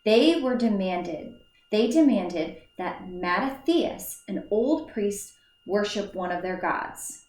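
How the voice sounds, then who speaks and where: A woman teacher reads a children's story aloud, unhurried and deliberate, breaking the text into small phrases. Now and then she trips and restarts a word. Her voice carries a noticeable echo from the room around her. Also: medium pitch, animated, a little mellow. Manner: slow, careful read-aloud with small slips and restarts. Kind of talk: reading a children's book aloud. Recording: echoey room.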